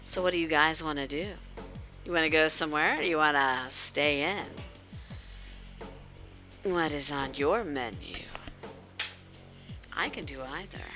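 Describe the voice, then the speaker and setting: Female streamer, low and unhurried, chatting informally with viewers over faint music. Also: sharp tone. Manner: informal, addresses viewers directly with frequent questions. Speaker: livestream host. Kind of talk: casual interactive game stream chat.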